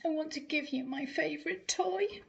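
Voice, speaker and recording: Woman voicing an eager, guilty pet dog, higher pitched. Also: moderate pace, gravelly, dull audio. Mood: guilty, sorry.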